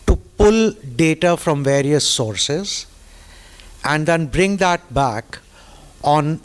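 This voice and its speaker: A middle-aged man speaking as a guest on a business panel, in a measured, deliberate way. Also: on the high side. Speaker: panel guest, media agency head. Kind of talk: panel discussion.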